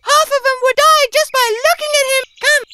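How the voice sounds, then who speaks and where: An actor voicing an excitable, slangy, scheming cartoon wolf. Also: very high-pitched, a little fast. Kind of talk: animated cartoon character acting. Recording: loud birdsong and noise behind.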